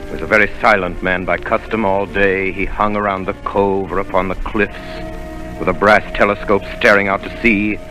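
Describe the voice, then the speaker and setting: A man voicing a grown man who recalls his boyhood adventure, in measured 1930s radio drama narration. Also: low voice, little pitch movement, bright, forceful tone, faint music behind, poor audio. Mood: earnest. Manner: measured, storytelling delivery. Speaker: narrator of the tale. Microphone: radio studio microphone.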